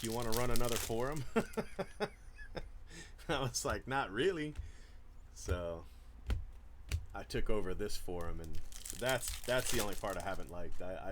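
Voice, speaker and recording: A man's voice: fairly low pitch, background noise. A man hosting a trading card break, chatting casually about his past with many fillers and restarts. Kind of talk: casual chat while opening cards.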